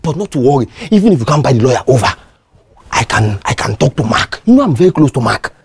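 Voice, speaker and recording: A performer playing a scheming, two-faced caretaker with a Nigerian accent, who stammers and coaxes to calm people down. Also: medium pitch, quick pace, creaky, husky, heavily acted. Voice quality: wheedling, stammering, pleading.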